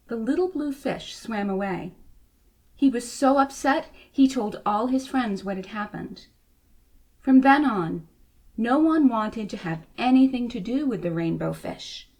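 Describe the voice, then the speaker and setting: Female children's storybook reader, higher-pitched, expressive delivery. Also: measured pace.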